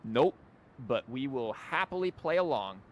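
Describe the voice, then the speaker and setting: A man's voice: mid-range voice, big pitch swings, a little slow. A man doing casual live game commentary as a streamer, rambling and wry. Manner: wry, rambling, mock-quoting. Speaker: game livestreamer.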